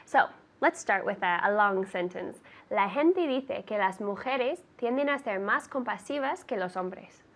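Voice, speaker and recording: A woman's voice: mid-range voice, expressive, normal speed. A woman giving an interactive English lesson, encouraging and repeating words to model pronunciation. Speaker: English teacher and presenter. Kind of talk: interactive language lesson, prompting and correcting.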